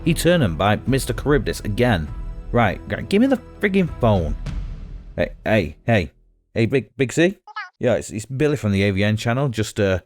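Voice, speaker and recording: A man's voice: lowish voice, a little mellow, dry recording. A man hosting an awards show with a flustered, stammering comic delivery. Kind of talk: comic awards presenting with a staged phone call.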